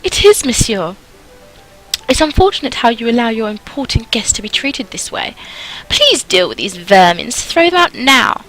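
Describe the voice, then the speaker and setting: Woman putting on snobbish, shrill, indignant society ladies. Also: sing-song, normal speed, fairly bright tone, faint music behind, poor audio. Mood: whining complaint. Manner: haughty, affronted, melodramatic.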